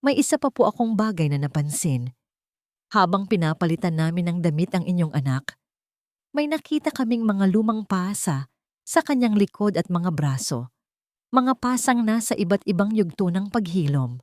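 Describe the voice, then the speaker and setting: A woman narrator voicing a gentle, careful elderly doctor in an audio story. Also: lowish voice, very animated, moderate pace, dry recording. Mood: hesitant. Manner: soft-spoken, careful, polite.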